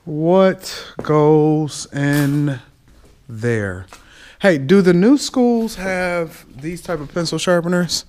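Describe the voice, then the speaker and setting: A man walking through an abandoned school with an action camera, talking to himself in low asides and getting excited at what he finds. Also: slight background noise. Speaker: urban explorer vlogger. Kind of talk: casual walk-through narration. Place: abandoned school building, large indoor halls. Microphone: head or chest-mounted action camera.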